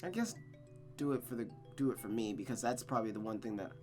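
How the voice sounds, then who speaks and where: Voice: mid-range voice, animated, fast, a little mellow. A storyteller with a mid-range voice reads a visual novel aloud over music. The delivery is dramatic, with small stumbles, repeated phrases and casual asides.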